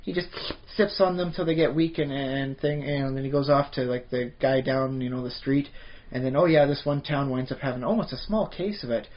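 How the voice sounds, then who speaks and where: A man from Canada in mid-range pitch, co-hosting a podcast and rambling through a tabletop-game topic with plenty of fillers and restarts. The audio is dull and lo-fi, like a home podcast setup. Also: a little fast. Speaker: podcast co-host. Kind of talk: casual chat about tabletop games.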